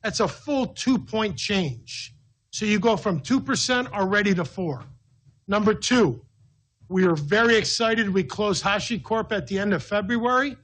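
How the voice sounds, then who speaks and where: A man's voice: high voice, expressive, unhurried, a bit bright, rough recording. A man speaking as a finance executive on an earnings call, laying out numbered points in an emphatic, methodical way. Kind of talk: business briefing, answering an analyst with numbered points.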